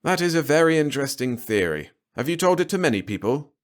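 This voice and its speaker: A man's voice: fairly high pitch, big pitch swings, quick pace, voice pushed up. A man voicing an exasperated, protective middle-aged father in a storybook, speaking with emphatic indignation. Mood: polite.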